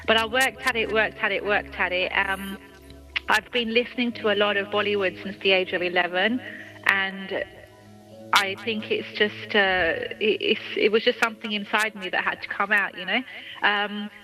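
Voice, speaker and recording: A woman's voice: mid-range voice, fairly even intonation, normal speed, low-quality recording. A woman from the UK, a singer, talking casually about her music in an interview, with many restarts and frequent laughs. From United Kingdom. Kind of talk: phone interview, casual chat.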